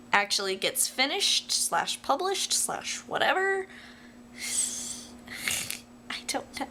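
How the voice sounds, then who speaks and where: A woman's voice: medium pitch, fairly bright tone, slight background noise. A young American woman talking casually to viewers like a video diary, rambling in a playful, self-deprecating way. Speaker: vlogger.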